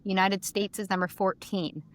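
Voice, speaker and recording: A woman's voice: medium pitch, little pitch movement, moderate pace. A woman co-hosting a casual podcast, chatty and reading out lists of figures. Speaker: podcast co-host.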